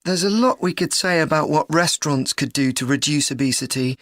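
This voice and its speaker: Earnest student, dry sound. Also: female voice, sits low, fairly quick, a bit bright, dry recording. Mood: earnest, thoughtful. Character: earnest university student discussing a joint presentation. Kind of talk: scripted educational dialogue.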